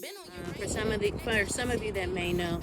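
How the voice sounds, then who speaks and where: Talkative woman from dallas doing a q&a, mid pitch, loud music behind her. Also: expressive, a little fast. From Dallas, Texas. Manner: talkative, many fillers, reads out questions. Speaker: co-host. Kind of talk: casual Q&A chat.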